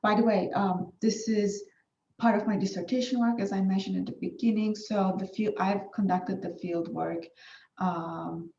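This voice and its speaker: A woman's voice: medium pitch, steady tone, moderate pace, creaky, dark tone, slight background noise. A woman whose first language is Turkish, giving a formal academic research presentation with frequent fillers and restarts. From Turkey. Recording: far-off dull echoey room recording.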